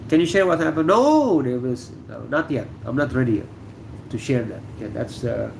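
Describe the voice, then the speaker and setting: A middle-aged man from Indonesia hosting a relaxed livestream, answering viewer comments with lots of fillers and pauses. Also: medium pitch, gravelly, a little hiss or hum, poor audio. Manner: relaxed, halting, many fillers. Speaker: livestream host. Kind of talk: casual livestream chat reading viewer comments. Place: room indoors.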